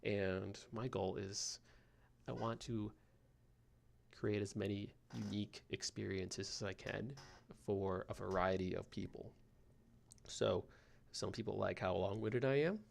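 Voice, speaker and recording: A man in his twenties with a soothing voice, hosting a sleep podcast in a slow, meandering monologue with frequent fillers. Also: on the low side, fairly even intonation, gravelly, a little hiss or hum. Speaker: podcast host.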